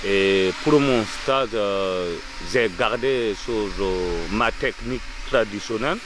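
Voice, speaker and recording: A man's voice: fairly low pitch, takes it slowly, background noise. A man from Burkina Faso, leading a bronze-casting workshop and talking in a documentary interview, hesitant and full of fillers. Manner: hesitant, with many fillers and restarts.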